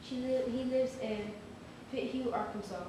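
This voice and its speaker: A woman's voice: fairly high pitch, steady tone, unhurried. A woman giving a dramatic reading of a notice. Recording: very echoey hall, distant, noisy.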